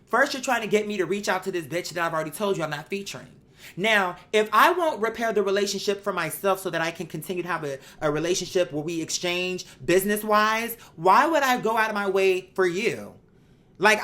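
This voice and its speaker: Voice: mid-range voice, animated, fairly quick, bright, forceful tone. A host telling a story in a casual monologue, with a sassy, candid, profane and emphatic manner. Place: bedroom. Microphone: microphone.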